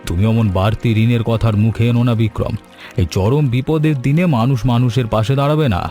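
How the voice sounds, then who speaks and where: A man acts out an old village uncle for a cartoon tale. His mid-pitched voice is soft-edged and mellow, his manner earnest and grateful, with music playing behind him. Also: fairly even intonation, a little fast, lightly acted. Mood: caring, reassuring. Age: older. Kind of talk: Bengali cartoon story voice acting.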